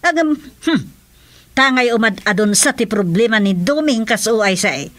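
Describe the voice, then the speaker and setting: A woman's voice: fairly high pitch, animated, normal speed, a bit bright. An actress with an Ilocano Filipino accent playing a gossipy middle-aged village mother in a radio comedy drama.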